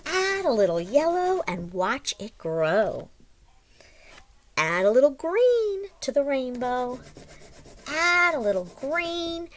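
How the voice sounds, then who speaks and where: A woman in her forties reads aloud for young children with a high, widely swinging pitch. She takes her time, in an expressive, sing-song teacher's delivery. The sound is that of a webcam microphone in a small room at home. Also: slow. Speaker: teacher reading a picture book aloud.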